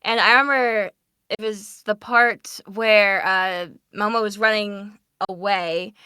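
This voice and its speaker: A woman casually telling anecdotes on a panel in a giggly, animated way, as a voice actor and dub director. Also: mid-range voice, slow pace, clean tone, no echo. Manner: giggly, animated storytelling with filler "like".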